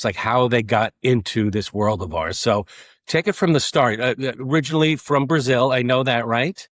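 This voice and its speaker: A man hosting an interview podcast, talking in a relaxed, chatty way with lots of fillers. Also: mid-range voice, no echo, clean studio sound. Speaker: podcast host. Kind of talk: conversational interview.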